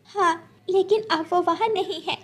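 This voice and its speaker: A woman's voice: very high-pitched, measured pace, pitched above the performer's own voice. A woman voicing a protective, anxious mother bird in a children's cartoon, motherly and fretful in manner. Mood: distressed, pleading. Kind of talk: Hindi children's cartoon story acting.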